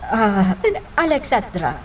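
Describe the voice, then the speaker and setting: A woman's voice: medium pitch, big pitch swings, slow pace. An actress playing a caring, concerned motherly woman in an Ilocano radio drama, with an Ilocano accent. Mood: worried, soothing. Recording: loud background noise under voice.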